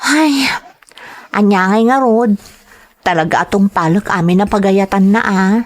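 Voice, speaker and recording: Lively young Ilocano college woman, voiced by an actress. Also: medium pitch, measured pace, lightly acted. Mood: light, friendly. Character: dutiful young college daughter, sheltered and mildly fretful. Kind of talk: audio drama acting.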